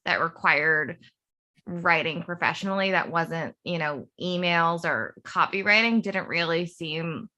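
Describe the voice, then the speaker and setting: Woman, 30s, from suburban Philadelphia, TV writer, interview guest, rambling self-amused storytelling, crisp bright tone. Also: on the low side, a little slow. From suburb of Philadelphia, USA. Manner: meandering storyteller who laughs at herself. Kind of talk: casual interview chat.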